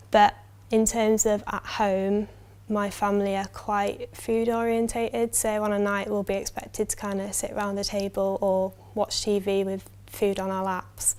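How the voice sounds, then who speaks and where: Flat-voiced young woman. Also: medium pitch. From Yorkshire, England. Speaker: university student, interviewee. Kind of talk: answering interview questions.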